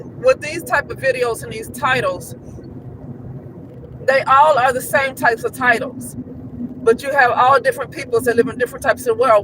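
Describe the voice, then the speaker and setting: A man vlogging in a casual, rambling monologue, often restarting his sentences and asking rhetorical questions. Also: very high voice. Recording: noisy street traffic behind.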